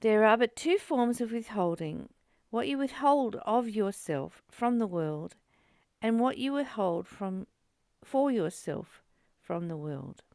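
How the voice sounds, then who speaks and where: A woman reading aloud and explaining a spiritual text as the host of a study group, in a deliberate, informal teaching way. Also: medium pitch, expressive, darkish tone, no echo.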